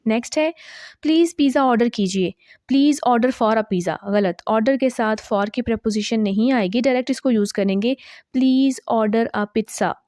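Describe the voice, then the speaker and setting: Woman English teacher, fairly high voice, patient and slow, stretches out example sentences. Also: a little mellow, no room sound, studio quality. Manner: slow, drawn-out model sentences; checks understanding with quick asides. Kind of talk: grammar lesson explaining common mistakes.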